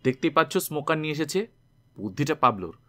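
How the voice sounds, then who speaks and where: A man's voice: a little high, very animated, fast talker, sharp tone. A man voicing a worried, loyal young brother in a story, speaking with urgent, questioning delivery. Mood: explaining, curious.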